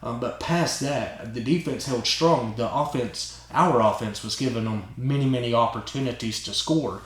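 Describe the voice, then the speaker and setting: A man hosting his own channel tells a long story in a casual, excited way, rambling and often tagging lines with "right?" and "man". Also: medium pitch, normal speed. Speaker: channel host. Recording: roomy echo.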